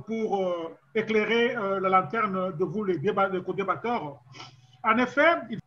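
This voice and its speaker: A middle-aged man hosting a formal political debate over a video call, speaking in a measured way with frequent hesitations. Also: high-pitched, bright, forceful tone, highs cut off. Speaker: talk show host.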